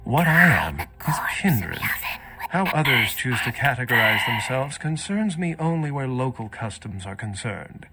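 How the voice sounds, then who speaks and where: An actor playing a self-assured, scholarly loner vampire in a video game, speaking in an erudite, wry, measured manner. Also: male voice, medium pitch, very animated, heavy background noise. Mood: cool, intrigued. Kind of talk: dramatic video game voice acting.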